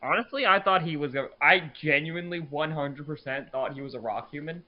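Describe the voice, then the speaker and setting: A man's voice: on the high side, brisk, highs cut off. A man in casual conversation as a panelist on a group podcast. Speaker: podcast panelist, fandub crew member.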